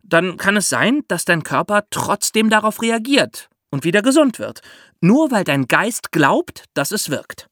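A man hosting a podcast, explaining step by step in lively storytelling for children with theatrical emphasis. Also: sits high, unhurried, dry recording, studio quality.

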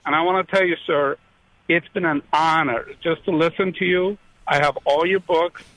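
Man from Iran, radio caller, fairly high voice, effusive, excited, dry sound. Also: moderate pace, dry recording, poor audio. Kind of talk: call-in radio conversation.

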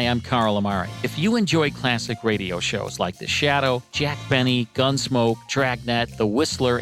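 A male radio presenter reads scripted promotional copy. His voice is medium in pitch, with music clearly playing underneath. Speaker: presenter and curator.

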